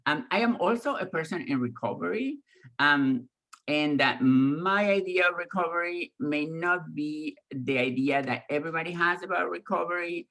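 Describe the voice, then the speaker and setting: A woman's voice: deep voice, a bit bright. Frank woman with an Argentine accent. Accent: Argentine Spanish. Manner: candid, frank and profane, with many fillers; mocks others in raised voices when quoting them. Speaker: guest speaker. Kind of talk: personal talk to an online audience.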